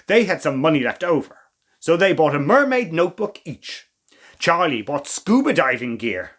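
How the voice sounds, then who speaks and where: A man reading a children's story as a storytime host, with expressive, theatrical emphasis and asides to the listeners. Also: fairly high pitch, normal speed. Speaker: storytime reader.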